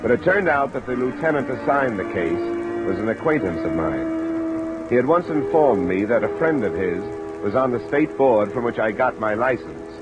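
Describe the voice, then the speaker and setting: A man's voice: mid-range voice, moderate pace, loud music behind the voice, natural voice, poor audio. An American man playing a tough, sardonic insurance investigator in a 1940s radio detective drama. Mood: dry narration. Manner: hard-boiled, curt, sarcastic. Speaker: lead actor and narrator. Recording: old radio recording under steady rain sound.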